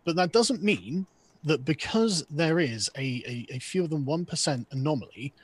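A man's voice: on the high side, animated, normal speed, slightly rough. A man debating on a video call, often interrupting and stammering into restarts.

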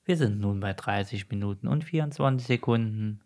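A man's voice: fairly low pitch, a little fast, a little mellow. A man co-hosting a podcast, chatting casually with flippant, jokey interjections. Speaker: podcast co-host. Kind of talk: casual banter.

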